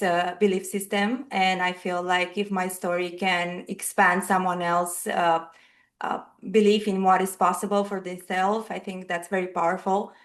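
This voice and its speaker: A woman's voice: medium pitch, a bit bright. Romanian-accented woman, mid-range voice. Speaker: podcast guest. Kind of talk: earnest personal storytelling.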